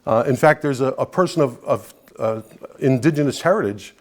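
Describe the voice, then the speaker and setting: An older man speaks to a meeting in an informal, conversational way. His is Sicilian, and his voice sits at a middle pitch as he talks earnestly in an anecdotal style. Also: normal speed. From Brooklyn, New York, USA. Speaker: guest speaker, Italian-American advocate. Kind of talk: informal public talk to a meeting.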